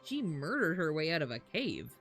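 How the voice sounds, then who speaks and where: A woman livestreamer with a fairly low voice and very lively intonation. She comments casually on a game in slangy, sarcastic bursts with swearing. Faint music plays behind her. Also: normal speed. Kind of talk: casual live reaction commentary.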